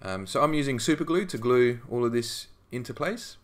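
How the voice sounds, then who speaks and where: A man narrating a scale modelling tutorial as a voice-over, relaxed and conversational with frequent ums and restarts. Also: mid-range voice, moderate pace.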